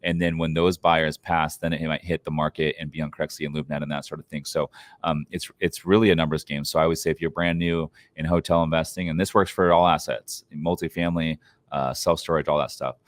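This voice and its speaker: A man's voice: a bass voice, steady tone, a little fast, dry recording. A man speaking as a podcast guest, answering interview questions about investing in an informal, advice-giving way.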